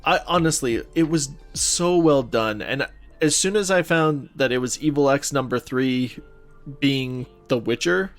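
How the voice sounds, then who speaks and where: A man's voice: medium pitch, measured pace, faint music behind. A man co-hosting a podcast, chatting casually and informally about films and games with gushing opinions. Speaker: podcast co-host.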